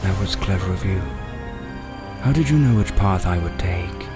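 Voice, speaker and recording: A man playing a theatrical, taunting villain in an audio drama, with a mocking, drawn-out, mock-courteous manner. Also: low voice, lively intonation. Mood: mocking, smug. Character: taunting, theatrical male villain toying with a princess. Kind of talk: fan audio drama acting. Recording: heavily echoing, loud music underneath. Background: music.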